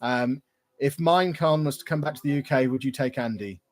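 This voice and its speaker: A man's voice: mid-range voice, a little fast. Chatty man on a livestream. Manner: chatty, rambling, reads viewer questions aloud. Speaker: YouTuber hosting a livestream Q&A. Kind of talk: casual livestream chat.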